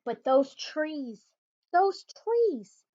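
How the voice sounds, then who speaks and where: A woman teacher reads a rhyming picture book aloud, her high voice rising and falling with lively expression. The recording has a slightly dull, lo-fi sound. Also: drawn-out words.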